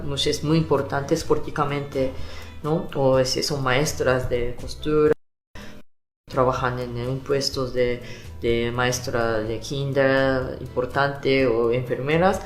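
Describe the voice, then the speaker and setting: A woman speaking in simple, halting Spanish in a documentary interview. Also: extremely low pitch. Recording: roomy echo.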